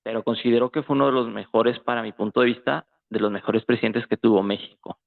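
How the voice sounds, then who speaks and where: A man with a Mexican accent talks informally as a guest on a thin, phone-like line. His medium-pitched voice has a gravelly fry, and he often gropes for the next word. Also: steady tone, fast. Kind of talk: casual political discussion. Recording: tinny, phone-like call audio.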